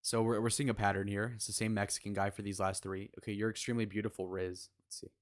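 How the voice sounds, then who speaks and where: A man's voice: on the low side, little pitch movement, fast. A young man streaming live, reacting to clips with blunt, mocking, crude running commentary in a casual way.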